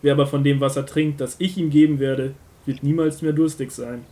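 Man playing authoritative prophet and teacher, fairly high male voice, solemn, measured, emphatic, Bible radio play. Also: fairly even intonation, mellow, close to an everyday voice. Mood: earnest, instructive. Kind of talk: scripted audio drama of a Bible story.

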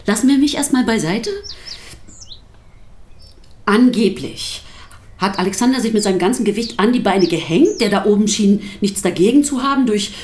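A pastor's wife in a radio play , performed by a woman, gives a detailed account of odd events. She tells it deliberately, with dry irony and a medium-pitched voice. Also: animated, darkish tone, reverberant, lightly acted. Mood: brisk, animated. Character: pastor's wife, wryly recounting a strange heavenly visitation. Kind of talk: radio drama testimony.

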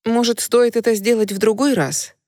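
A woman voicing a tender young man who is devoted to his love and teases her gently. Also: fairly high pitch, fairly even intonation, normal speed, no echo, studio quality, pitched above the performer's own voice, lightly acted.

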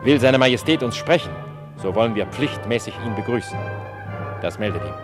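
A man's voice: mid-range voice, lively intonation, a little fast, lightly acted. Declaiming young warrior prince played by a man, brass behind. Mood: formal commanding. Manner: declamatory, commanding. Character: proud young warrior prince commanding marching troops. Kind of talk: German radio play of classical drama. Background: loud brass music.

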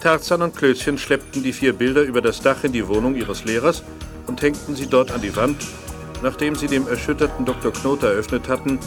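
A man's voice: medium pitch, brisk. A man narrating a children's audio drama in a scripted storytelling style. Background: music.

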